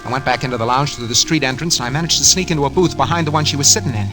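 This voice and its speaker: A man's voice: medium pitch, racing, lightly acted. Male actor as guilty schemer, hardboiled slangy delivery, nervous edge, 1940s radio crime drama, music behind. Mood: tense, confiding. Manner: hardboiled slangy first-person storytelling. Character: nervous, guilty schemer narrating his own murder plot.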